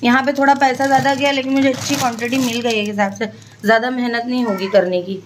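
A woman's voice: a little high, steady tone, fast talker, roomy sound, distant mic, background noise. Chatty young woman vlogging at home. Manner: chatty, talks to her baby in a sing-song coaxing way. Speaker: family vlogger. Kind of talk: casual home vlog chat. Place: home kitchen.

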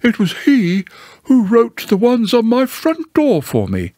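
A man puts on a pompous storybook owl who loves to hold forth. The delivery is slow and stretched, with big swoops up into a high register. He sounds self-important and easily affronted. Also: wildly swooping pitch, darkish tone, dry recording, heavily acted. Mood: officious, instructive. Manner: pompous, drawn-out delivery of formal phrases. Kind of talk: storybook character voice.

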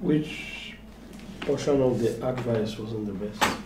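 A man's voice: medium pitch, takes it slowly, background noise, lightly acted. A man playing a stubborn, doctrinaire pastor who argues in formal words in a drama. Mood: defensive, insistent. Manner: argumentative, formal wording. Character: stubborn, doctrinaire pastor defending his advice. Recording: echoey room.

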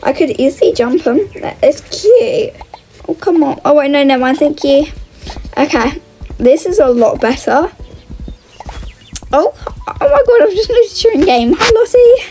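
A woman's voice: high-pitched, animated, measured pace, pauses often, dark tone. A woman giving casual, chatty gameplay commentary, exclaiming and thinking aloud as she plays. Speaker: gaming video host. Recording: music under the voice.

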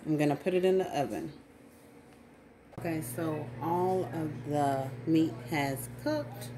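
A woman's voice: sits low, very animated, slow. A woman casually talking through each step as she cooks dinner in her home kitchen, filmed by a camera on the counter. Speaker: cooking vlogger. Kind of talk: casual cook-along narration. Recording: noisy kitchen background.

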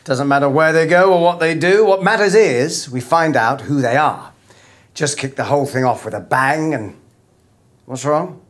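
A man plays a middle-aged soap writer in a screen comedy. His voice sits a little high, and he lectures casually with easy self-assurance. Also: moderate pace, close to an everyday voice. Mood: confident, explaining. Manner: didactic, casual. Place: indoor office with whiteboard.

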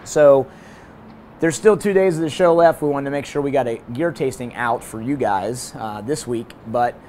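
A man in his thirties with a hoarse voice, hosting a casual gear review vlog from a hotel room and balcony. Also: mid-range voice, normal speed, a little hiss or hum. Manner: energetic, talks with his hands. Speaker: host.

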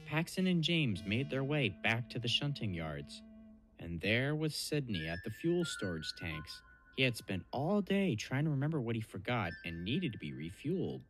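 A man narrating a children's train story in an expressive, scripted storybook style. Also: medium pitch, normal speed, soft background music.

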